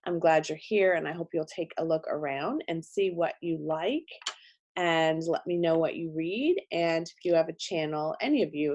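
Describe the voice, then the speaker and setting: A middle-aged woman hosting her book channel, chatting casually through her monthly reading, her voice still slightly hoarse and congested. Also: sits low. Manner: chatty, self-correcting, warm talk about books.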